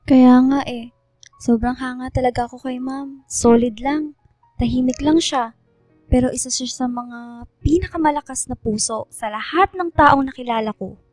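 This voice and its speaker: A woman playing a tender, earnest schoolgirl in a radio drama, speaking softly and sincerely. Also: high-pitched, normal speed, no echo, faint music behind, natural voice. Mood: admiring, tender. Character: Lia, earnest, tender schoolgirl grateful to her teacher. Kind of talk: radio drama dialogue and heartfelt letter reading.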